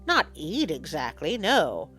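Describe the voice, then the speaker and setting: A woman voicing a bossy, fierce little male mongoose in a storybook, with a brisk scolding manner. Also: low-pitched, wildly swooping pitch, fairly bright tone, faint music behind, heavily acted. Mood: exasperated, commanding.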